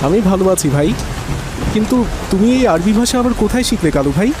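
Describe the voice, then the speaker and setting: A friendly cartoon villager, a devout and trusting fish farmer, played by a man. He talks earnestly in a fairly high male voice. The soundtrack is plainly noisy. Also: animated, fast. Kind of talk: cartoon voice acting.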